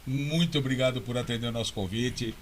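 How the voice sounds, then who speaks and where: A man with a Brazilian Portuguese accent who hosts an interview, often agreeing with his guest and thanking him warmly. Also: medium pitch, fairly quick, a little hiss or hum. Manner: affirming, adds short agreeing remarks. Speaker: interview host. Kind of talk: casual interview talk.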